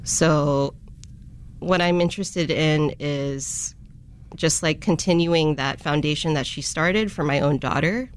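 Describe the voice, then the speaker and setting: A woman's voice: low voice, slow, smooth. A woman speaking conversationally as a guest in an interview. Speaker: interview guest, community archive volunteer.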